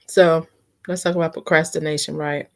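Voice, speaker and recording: Woman, low voice, mildly congested, casual vlog chat, unscripted rambling, computer mic. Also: level tone, normal speed, vocal fry. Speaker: vlogger practicing for a podcast. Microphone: built-in computer mic, no external microphone.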